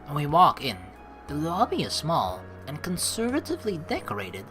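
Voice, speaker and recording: A woman playing through a game as host, reading its text aloud and chatting with playful, expressive commentary. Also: low voice, moderate pace, soft background music. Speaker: let's play host.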